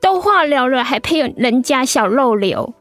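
An actress playing a pouty, affectionate high-school daughter in a radio drama, girlish and frank. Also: lively intonation, measured pace. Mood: curious, frank.

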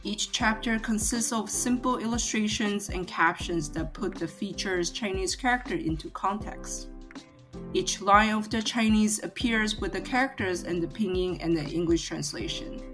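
A woman's voice: medium pitch, moderate pace. Podcast host woman over music. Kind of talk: scripted introduction then interview. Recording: music bed under voice.

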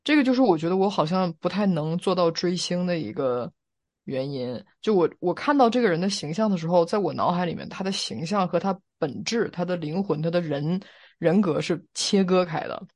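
A woman's voice: fairly low pitch, lively intonation, fairly quick, darkish tone. Reflective woman chatting on a podcast. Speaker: podcast co-host. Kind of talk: casual opinion chat.

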